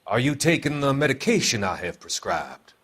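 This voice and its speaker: A man's voice: medium pitch, sing-song, moderate pace, a bit bright, slight background noise. A male actor playing a cold, manipulative doctor in a video game, clinical and evasive.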